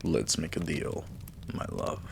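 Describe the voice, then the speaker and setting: A man playing a tender, devoted vampire king in a romantic ASMR roleplay, speaking in a hushed, breathy, soothing way that often slips into a whisper. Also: slight background noise, close to an everyday voice. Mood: loving, solemn. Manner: hushed, slow, soothing, affectionate.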